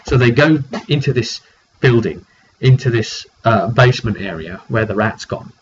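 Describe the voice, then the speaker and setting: A man's voice: mid-range voice, expressive, moderate pace. A man informally recapping a tabletop roleplaying session as game master, thinking aloud and repeating words as he goes. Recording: dull recording with faint background noise.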